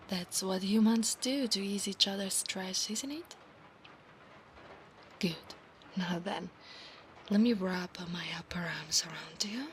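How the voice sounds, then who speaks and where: A woman's voice: medium pitch, a bit bright, slight background noise. A woman voicing a proud, insistent female centaur knight of a holy order in an ASMR roleplay, formal and commanding in her speech. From Italy. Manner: formal, commanding, haughty, archaic phrasing.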